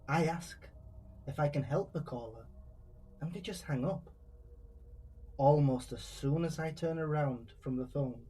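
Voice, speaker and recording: A man's voice: medium pitch, warm tone, slightly rough, rough recording. A man narrating creepy first-person true stories from a script, as a true crime storyteller.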